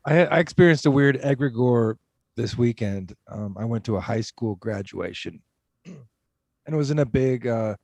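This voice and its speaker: A man co-hosting a podcast, telling a personal story haltingly with many fillers. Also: medium pitch, animated, creaky, darkish tone, no echo. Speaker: podcast co-host. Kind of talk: casual podcast talk.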